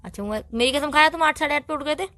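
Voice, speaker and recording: A woman's voice: sits high, rapid-fire, pushed and bright, dry recording. A young woman playing a suspicious, nagging girlfriend, insistently interrogating her boyfriend in a staged phone argument. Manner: insistent, interrogating, repeating questions and demands.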